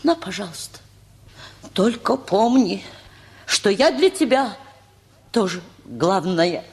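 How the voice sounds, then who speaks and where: A woman's voice: mid-range voice, big pitch swings, darkish tone. Pleading, swooping-voiced woman. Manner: pleading, emotive, halting. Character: Tamara Timofeyevna, pleading, possessive, self-justifying woman. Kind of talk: Soviet radio theatre acting.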